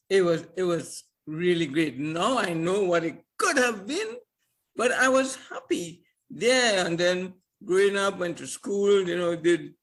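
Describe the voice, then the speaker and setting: Older man, podcast guest, high voice, animated storyteller who chuckles and repeats himself. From Trinidad and Tobago. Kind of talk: casual storytelling interview.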